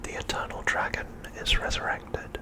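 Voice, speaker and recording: A man narrating an ASMR recap in a breathy, unvoiced whisper, with deliberate phrasing broken by short pauses. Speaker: ASMR host.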